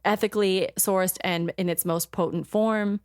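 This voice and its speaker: A woman co-hosting a podcast in casual chat, halting and full of ums as she looks things up. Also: mid-range voice, fairly even intonation, clear, resonant tone, no room sound, clean studio sound. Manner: chatty, halting, many ums while searching notes. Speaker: podcast co-host.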